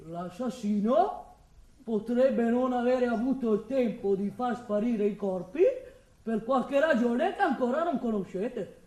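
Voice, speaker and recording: A woman's voice: on the high side, animated, measured pace, mellow. A woman playing a blustering, defensive male building foreman, rambling and interrupting herself in a radio drama monologue. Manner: agitated, rambling, self-interrupting. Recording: echoey room, distant mic.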